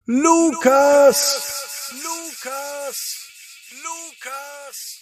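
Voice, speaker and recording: A man voicing a worried mother calling out in searching shouts for her lost young son in a children's story. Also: very high voice, steady tone, drawn-out words, voice pushed up, full character voice.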